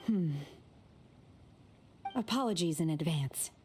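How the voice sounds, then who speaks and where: A woman playing a cool, blunt young woman with a formal, deadpan manner in an anime-style video game. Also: fairly low pitch, takes it slowly, slight background noise. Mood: matter-of-fact.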